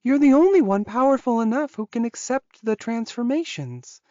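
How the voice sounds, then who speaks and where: A woman's voice: on the high side, expressive, normal speed, dark tone, no echo, lo-fi sound, natural voice. A woman voicing a loyal, resigned witch guarding a captive in an audiobook, matter-of-fact as she explains. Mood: explaining, matter-of-fact.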